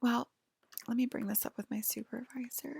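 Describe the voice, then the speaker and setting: A woman playing a female alien abductor in an ASMR roleplay, whispering in a breathy, halting, gently official clinical manner. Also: a little high, fairly even intonation, no echo, studio quality, heavily acted. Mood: calm, clinical.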